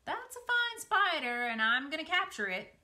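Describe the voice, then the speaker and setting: A woman voicing a rambunctious, excitable young farm boy in a children's storybook, eager and yelling. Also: high voice, moderate pace, voice pushed up, exaggerated voice.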